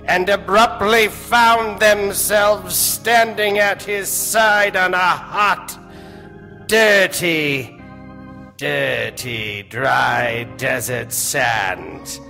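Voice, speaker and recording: An ominous narrator of eerie tales played by a man, very slow and rather high. He speaks theatrically in old radio-serial style, with music behind him in a reverberant hall. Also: gravelly, pushed and bright, full character voice. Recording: echoey stage hall reverb.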